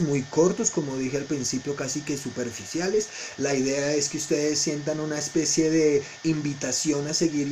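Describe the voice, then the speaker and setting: A man's voice: medium pitch, darkish tone, a little hiss or hum. A man teaching a history lesson to students in an informal lecture style, explaining didactically and often checking in with short questions.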